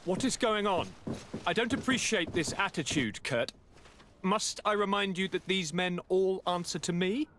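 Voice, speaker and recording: A man's voice: high voice, moderate pace, fairly bright tone, slight background noise. An actor playing a needy, anguished young aristocrat in a video game, theatrical and formal in his delivery. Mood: indignant. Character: sickly, anguished young male aristocrat governor, melodramatic and needy. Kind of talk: dramatic video game acting.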